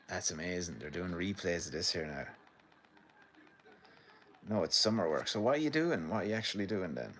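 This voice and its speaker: A man's voice: sits low, big pitch swings, moderate pace, pauses often, a bit bright, slight background noise. An Irish man from the midlands hosting a rambling live stream from his sitting room, commenting on a match and chatting to viewers. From Ireland. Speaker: livestream host. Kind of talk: casual live commentary and chat.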